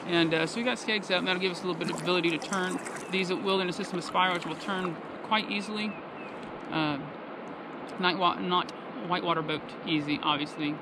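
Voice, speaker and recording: A man's voice: sits high, fairly even intonation, very noisy. Chatty man narrating from a kayak. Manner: chatty, explains as he paddles. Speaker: kayaking vlogger. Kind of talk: casual outdoor narration to camera. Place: on a river in a kayak, outdoors. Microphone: action camera on the kayak. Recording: loud rushing water behind.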